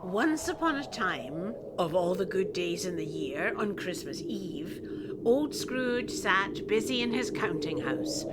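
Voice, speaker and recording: A woman narrating a radio play like a storybook, dramatically and deliberately, relishing each word. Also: mid-range voice. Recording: clear ambient noise behind voice.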